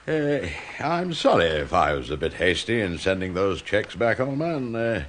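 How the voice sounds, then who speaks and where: Wildly animated, sardonic old British office veteran. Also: male voice, lowish voice, normal speed, a little hiss or hum. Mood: brisk, tetchy. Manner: needling, mock-polite, pedantic. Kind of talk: British radio drama acting.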